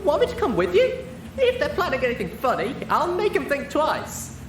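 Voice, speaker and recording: A woman's voice: high voice, wildly swooping pitch, normal speed. A woman voicing a brash, cocky young female pegasus pony with punchy, casual swagger in an audiobook reading. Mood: brash, eager. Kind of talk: character acting in an audiobook reading. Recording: roomy, distant mic with background noise.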